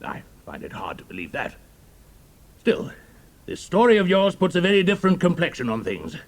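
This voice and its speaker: An actor playing a gruff, commanding British army general in an audio drama, with clipped, authoritative, excitable delivery. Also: male voice, sits high, normal speed, heavily acted. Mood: incredulous, stern.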